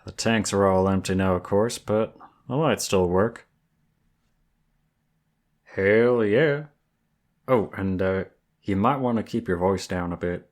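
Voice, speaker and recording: A man's voice: sits low, slow, long pauses. A man voicing a flirty, friendly werewolf guide in an ASMR roleplay, with a folksy, teasing Southern US drawl. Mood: coaxing, playful. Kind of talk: ASMR roleplay, intimate one-sided dialogue.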